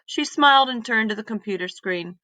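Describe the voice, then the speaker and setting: A woman reading a children's story aloud in an expressive, deliberate manner. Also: a little high, no room sound. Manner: deliberate, animated reading with emphatic exclamations.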